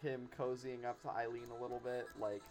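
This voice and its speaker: A man with a medium-pitched voice chats in an enthusiastic, rambling way. He sounds like a podcast guest in casual conversation, with game music playing faintly underneath. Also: level tone, brisk. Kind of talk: casual chat about cartoons.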